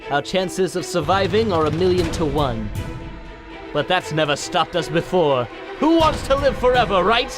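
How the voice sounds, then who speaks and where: A man's voice: high voice, animated, normal speed. A man voicing a bold, reckless resistance fighter with a dramatic, rallying manner in an audio drama. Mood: rallying, defiant. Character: bold, reckless alien resistance commander, battle-ready. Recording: loud music behind the voice.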